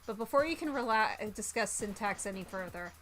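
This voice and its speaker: A woman streamer doing a playful let's-play, reading game text aloud and reacting with animated exclamations. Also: medium pitch, fairly quick, faint music behind, rough recording.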